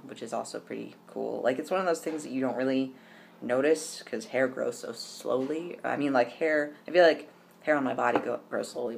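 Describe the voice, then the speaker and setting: A man's voice: medium pitch, vocal fry, noisy background. A young man chatting casually to camera in a personal vlog, rambling with lots of ums and restarts. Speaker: vlogger.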